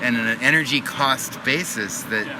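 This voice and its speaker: Mid-pitched male host, curious and chatty, noisy expo floor behind him. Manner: curious, quick interjections. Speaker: podcast host. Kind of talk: casual interview. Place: busy trade show floor.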